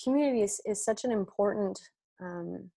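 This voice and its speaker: A woman's voice: mid-range voice, moderate pace, vocal fry, mellow, slightly rough, no room sound. A French Canadian woman whose first language is French, chatting as a podcast guest with many fillers and restarts. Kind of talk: casual podcast chat.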